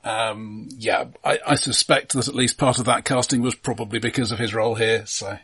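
A man co-hosting a casual film discussion in a halting, wry conversational manner. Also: mid-range voice, steady tone, a bit bright, husky. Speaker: podcast co-host.

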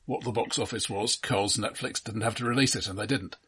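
A man's voice: on the low side, steady tone, a little fast, fairly bright tone, breathy edge. A man in a casual film discussion, talking in a dry, wry, analytical way. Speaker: film podcast co-host.

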